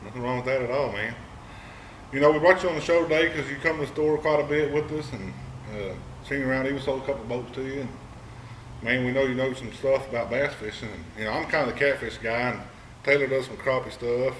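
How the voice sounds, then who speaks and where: A man's voice: medium pitch, fast, fairly bright tone, far from the mic. A man hosting the show, chatting in a friendly, easygoing, folksy way. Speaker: host. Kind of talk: casual chat. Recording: echoey room, mic held back, faint noise.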